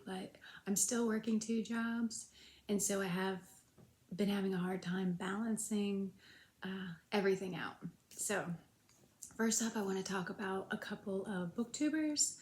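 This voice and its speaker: A young woman talks casually about books, a little congested from a cold. She rambles, restarts and fills gaps with ums. Her middle-pitched voice rings in a room with noticeable echo. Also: darkish tone. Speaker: booktuber. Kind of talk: casual reading update vlog. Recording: echoey room.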